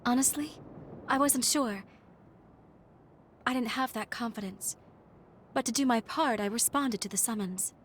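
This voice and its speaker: Woman voicing sweet earnest young fighter girl, high voice, heartfelt game dialogue, faint noise behind. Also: unhurried, pauses often, close to an everyday voice. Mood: earnest, tender. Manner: sincere, heartfelt. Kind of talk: dramatic game cutscene dialogue.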